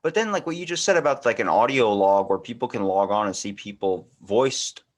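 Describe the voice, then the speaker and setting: A man's voice: lowish voice, lively intonation, fairly quick. A man hosting a podcast, talking in a casual, rambling, opinionated conversational way. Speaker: podcast host. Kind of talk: casual interview chat.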